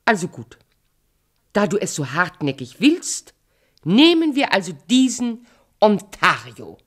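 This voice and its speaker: Evil fairy-tale queen, sly, wheedling, falsely caring, drawn-out pace, swooping intonation, theatrical radio drama. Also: medium pitch, huge pitch swings. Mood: coaxing, sly. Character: sly evil queen posing as fruit seller.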